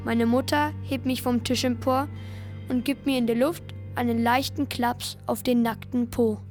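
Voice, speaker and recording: A woman's voice: a little high, steady tone, normal speed, a little mellow, close to an everyday voice. An actress playing an anxious, pious young farm boy in a literary radio play, narrating and pleading. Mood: recalling, narrating. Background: music.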